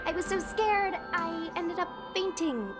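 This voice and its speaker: A woman voicing a loyal, easily scared but well-meaning young girl in a video game, expressive and earnest. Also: very high-pitched, measured pace, over loud music. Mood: sheepish, earnest.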